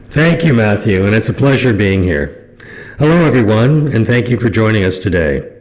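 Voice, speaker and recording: A man's voice: lowish voice, animated, normal speed, low-quality recording. A man speaking as a chief executive, giving courteous, formal prepared remarks.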